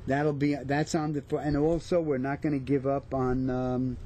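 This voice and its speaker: A man's voice: mid-range voice, level tone, normal speed, warm tone, slight background noise. A man, an actor chatting casually as an interview guest. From New York/New Jersey area.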